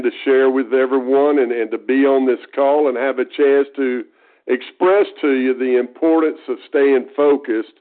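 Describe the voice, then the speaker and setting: A folksy man in his fifties with an East Texas drawl speaks with earnest conviction, often circling back to restart a phrase. His voice sits at an ordinary middle pitch for a man. It comes through a thin, tinny phone line. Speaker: guest speaker. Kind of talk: conference call talk. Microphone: telephone.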